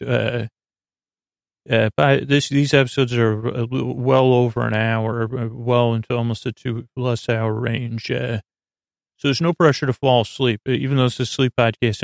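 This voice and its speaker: A man hosting a sleep podcast with a meandering, halting bedtime monologue, often trailing off and repeating himself. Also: mid-range voice, dry recording, clean studio sound. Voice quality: creaky.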